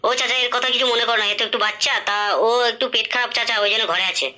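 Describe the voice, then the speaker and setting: A woman's voice: fairly high pitch, steady tone, rapid-fire, over a noisy crowd, natural voice. A woman voicing a brash, bossy young village lad in an amateur comedy cartoon, with a coarse, snappy manner. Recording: loud music under the voice.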